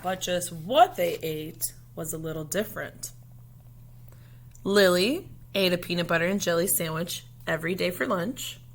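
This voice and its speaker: A woman reading a children's picture book aloud expressively, in measured phrases with pauses, as a storyteller speaking to young listeners. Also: on the low side.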